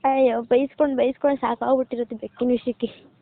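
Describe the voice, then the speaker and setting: A woman's voice: a little high, level tone, fast, gravelly. A young woman with a Karnataka accent chatting casually and colloquially on a private phone call with her boyfriend. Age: young adult. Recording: tinny phone line with background noise.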